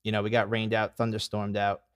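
A man's voice: on the low side, completely flat delivery, a little fast, clear, resonant tone. A young man hosting a casual podcast on a headset from his room at home, rambling with restarts and casual curses. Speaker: podcast host. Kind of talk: casual chat. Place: home room with red lights on video call.

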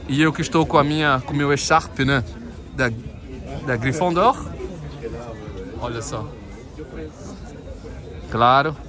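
A man's voice: mid-range voice, a little slow, pauses often. A man from Brazil with a Brazilian accent, vlogging casually as he walks an outdoor Christmas market and chats with vendors. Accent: Brazilian Portuguese. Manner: chatty, addresses viewers often, switches to careful French with vendors. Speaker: travel vlogger. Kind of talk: casual walking vlog, chatting with vendors. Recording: busy crowd noise outdoors. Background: market crowd.

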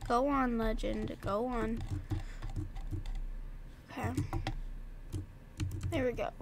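A woman's voice: a little high, fairly even intonation, takes it slowly, vocal fry, background noise. Stuffy-nosed woman chatting through a game. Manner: chatty, talks to herself and her horse. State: stuffed-up nose. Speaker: gaming vlogger. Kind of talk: casual gameplay commentary.

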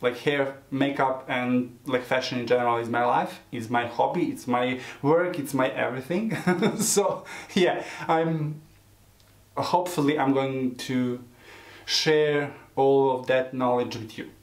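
A man in his early forties speaks in a mid-range voice with a Serbian accent. He chats casually to camera, a little haltingly, in non-native phrasing. The room adds a clear echo around his words. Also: mid-range voice, steady tone. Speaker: beauty vlogger, hairdresser and drag queen. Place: small room indoors. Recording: roomy, echoing home recording.

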